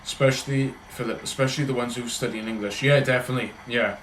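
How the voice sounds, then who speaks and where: A man's voice: medium pitch, steady tone, quick pace, a bit bright, echoey room, slight background noise, low-quality recording. A man with a Welsh accent, chatting casually and rambling on a livestream as he answers his viewers. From Wales. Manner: rambling, chatty, talks in loose run-on thoughts.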